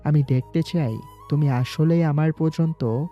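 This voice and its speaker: A very dark, mellow young male voice played by a man for a student with a secret gangster life. It is audio-story drama, laid over a music bed. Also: fairly high pitch, a little slow, close to an everyday voice. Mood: cool, scheming. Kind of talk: Bengali audio story acting.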